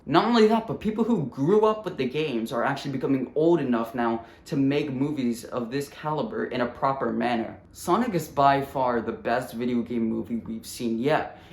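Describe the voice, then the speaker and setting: A man's voice: medium pitch, breathy edge. A man delivering casual, scripted comedic commentary for a video essay, with a flippant, slangy, jokey manner. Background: upbeat video game music.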